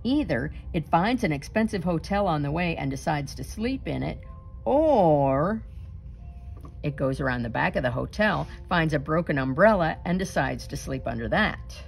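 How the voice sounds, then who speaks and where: A woman reading a children's picture book aloud expressively, with deliberate, dramatic emphasis and suspenseful pauses. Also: low-pitched, vocal fry.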